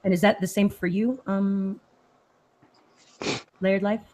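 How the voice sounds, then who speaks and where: A woman's voice: mid-range voice, steady tone, slow pace, mellow. A woman from Austin, Texas, hosting a casual live chat and reading viewer comments aloud in a chatty, easily sidetracked way.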